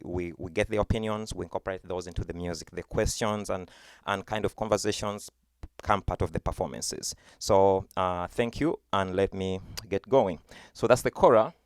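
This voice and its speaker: A man with a Ugandan accent and a low, smooth, resonant voice talks students through a virtual music presentation on his computer mic. The sound is close and dry, with no room around it. He explains patiently and fills his pauses with "uh" and "you know". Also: a little mellow, dry recording. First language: Luganda. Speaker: music teaching artist. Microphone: computer webcam mic.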